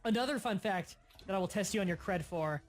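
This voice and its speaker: Man with a slight stutter. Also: sits high. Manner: stutters at times, quick explainer tone. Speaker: livestream host. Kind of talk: casual gaming stream chat.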